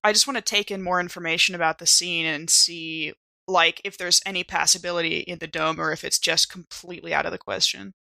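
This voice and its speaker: A woman with a bright, forward voice full of vocal fry, recorded close and dry. She talks casually as a tabletop player, thinking aloud in informal phrasing. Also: on the low side, steady tone, fairly quick, no room sound. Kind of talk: casual game-table chat.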